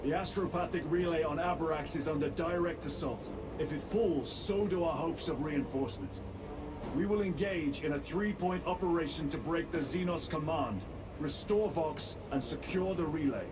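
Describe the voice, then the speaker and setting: A man's voice: fairly high pitch, music in the background, natural voice. A man playing a terse, resolute lieutenant. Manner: clipped, measured. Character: resolute male space marine lieutenant, terse. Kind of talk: video game cutscene acting.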